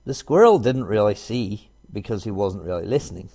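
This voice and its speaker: A man reading a children's storybook aloud in an expressive narrating style. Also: lowish voice, a little fast. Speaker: storybook reader.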